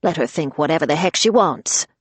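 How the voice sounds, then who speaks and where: A woman voicing a fierce, sharp-tongued older grandmother who scolds and commands. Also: deep voice, expressive, fairly quick, breathy edge, no echo. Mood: brisk.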